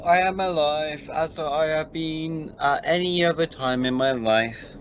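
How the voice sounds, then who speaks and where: A man playing a cynical, unemotional genius scientist astronaut in an amateur radio play, pedantic and deadpan. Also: fairly high pitch, unhurried. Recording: muffled home-made recording, faint noise.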